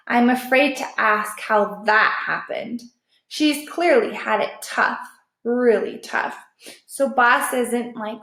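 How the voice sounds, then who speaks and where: Woman teacher, high voice, expressive read-aloud for children, explanatory, roomy echo. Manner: expressive, checks understanding with questions. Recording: echoey room.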